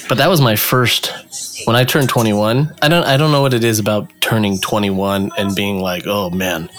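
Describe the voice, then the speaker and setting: A man co-hosting a casual chat from home, animated and joking, with an irreverent way of talking. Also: on the low side. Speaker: podcast co-host. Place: home in Long Beach.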